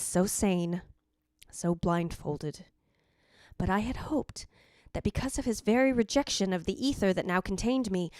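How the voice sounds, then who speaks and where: A woman's voice: medium pitch, mellow, no room sound, studio quality, close to an everyday voice. A woman playing the yearning, possessive ghost of a dead wife, narrating formally in old-time radio drama style. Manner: formal, literary, theatrical delivery.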